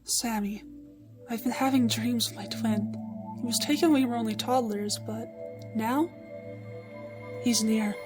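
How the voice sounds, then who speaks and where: Haunted young woman with high voice, acted. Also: fairly even intonation, measured pace, long pauses, close to an everyday voice. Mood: brooding. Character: earnest, haunted young woman, stubborn and withdrawn. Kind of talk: dramatic comic dub acting. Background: music.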